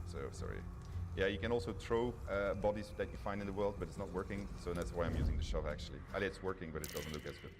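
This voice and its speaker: A man's voice: low voice, fast talker, background noise. A man presenting live gameplay, thinking aloud in a hesitant, self-deprecating, wryly understated way. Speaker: game developer presenting a live gameplay demo. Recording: game music behind the voice. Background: video game music.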